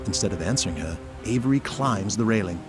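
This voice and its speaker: A man's voice: lowish voice, expressive, fairly quick, music in the background. A man reading scripted dramatic narration for an audio drama.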